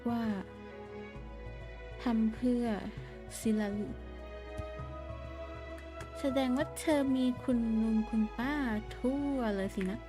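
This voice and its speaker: A woman's voice: a little high, racing, pauses often. A woman game streamer giving casual, blunt, slangy commentary and reactions while game music plays. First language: Thai.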